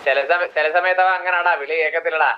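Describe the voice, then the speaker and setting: A man in excited, casual game chat. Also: a little high, quick pace. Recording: dull, noisy game voice chat.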